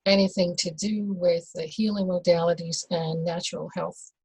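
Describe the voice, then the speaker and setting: A woman from the Netherlands, a doula, talking as an interview guest in a slow, hesitant way with many fillers and restarts. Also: on the low side, flat delivery, darkish tone, breathy edge, dry recording, poor audio. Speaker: guest, birth and rebirth doula. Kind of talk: casual conversational interview.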